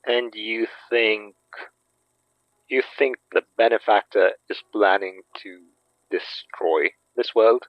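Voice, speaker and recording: An actor playing a wary, puzzled man in a horror audio drama, asking halting, incredulous questions. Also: on the low side, steady tone, no echo, dull audio.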